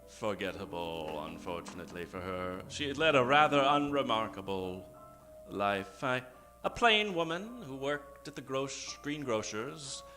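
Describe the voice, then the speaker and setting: A man hosting as a theatrical mock old-time radio announcer, speaking dramatically with suspenseful pauses and sudden emphasis. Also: medium pitch, sharp tone. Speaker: announcer and host of an improvised radio show. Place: theater stage. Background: live keyboard music.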